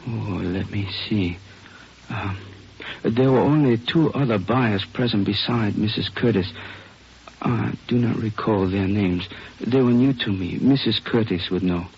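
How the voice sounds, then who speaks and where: An actor playing a courteous, injured Chinese jade dealer in a vintage radio drama, speaking formally and hesitantly with careful wording. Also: male voice, fairly low pitch, a little slow, gravelly, husky, dull audio, full character voice. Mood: polite, hesitant. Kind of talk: 1950s radio drama acting.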